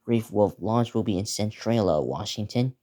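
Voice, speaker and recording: A teenage male video creator giving a casual, unscripted preview, talking in a halting, repetitive way as he lists things. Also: on the low side, dark tone. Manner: halting, repetitive, listing place names.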